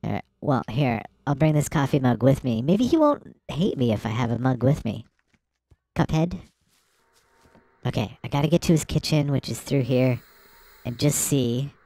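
A woman hosting a let's play, giving excitable, playful game commentary with gasps and shrieks at surprises. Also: rumbling low, normal speed, dry recording.

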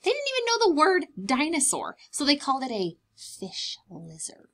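A woman's voice: high voice, wildly swooping pitch, moderate pace, fairly bright tone. A young woman reading a picture book aloud to small children, with dramatic emphasis and simple explanatory asides. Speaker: preschool teacher reading aloud.

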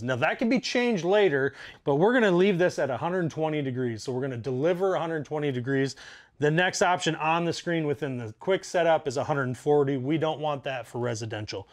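A man's voice: a little high, lively intonation, a little fast. A man in his forties, a plumber, casually talking through an installation step by step in a basement utility room. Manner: practical, walks through steps out loud. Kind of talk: casual how-to demonstration.

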